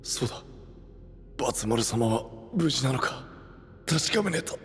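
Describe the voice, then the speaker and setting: An actor playing a possessed young would-be knight, rough and brusque, desperate for approval, in an anime-style audio drama. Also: male voice, mid-range voice, sing-song, a little slow. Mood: dazed, brooding. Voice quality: gravelly. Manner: rough, brusque masculine speech.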